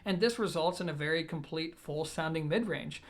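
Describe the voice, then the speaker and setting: A man presenting a product review in a conversational, explanatory way, measured but leaning on key words for emphasis. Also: on the high side. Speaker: audio gear reviewer, presenter.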